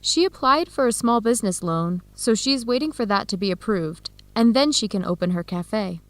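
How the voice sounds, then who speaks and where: A woman's voice: medium pitch, normal speed, no echo, lightly acted. A woman playing a friendly, considerate young Ethiopian American student in an educational radio drama, speaking earnestly. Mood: enthusiastic.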